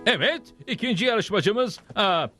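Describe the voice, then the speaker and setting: High, swooping cartoon rodeo announcer. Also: male voice, animated, a little slow, full character voice. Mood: excited. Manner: shouty, showy. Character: excitable small-town rodeo announcer. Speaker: contest announcer. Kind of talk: Turkish cartoon dub, commentary. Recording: loud music behind the voice.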